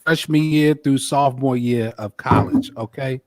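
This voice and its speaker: A man's voice: mid-range voice, normal speed. A man co-hosting a casual online talk show, teasing the others and laughing often. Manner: teasing, laughs often, rhymes his intro. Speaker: co-host.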